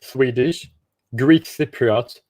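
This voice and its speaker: A man from South Africa hosting a livestream, asking a stranger questions in halting, restart-heavy casual talk over a video call. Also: mid-range voice, darkish tone, dry recording. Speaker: livestream host chatting with strangers.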